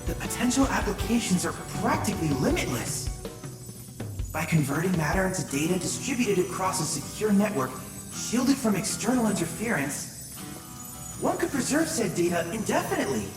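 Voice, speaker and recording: Woman as an eager boy scientist, lively and echoey. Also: medium pitch, moderate pace, lightly acted. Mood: proud, enthusiastic. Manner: precise, formal, enthusiastic. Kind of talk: video game voice acting. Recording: roomy echo, music underneath.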